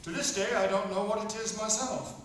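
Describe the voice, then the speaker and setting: A man's voice: sits high, steady tone, a little fast, a bit bright. A man hosting and narrating a live stage show, speaking to the audience as a storyteller. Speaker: narrator and host. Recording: very echoey noisy hall, distant mic.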